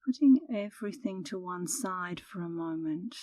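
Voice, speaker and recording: Female meditation guide, slightly low register, soothing and unhurried, very dry intimate mic. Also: animated, dark tone, no echo. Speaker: meditation instructor.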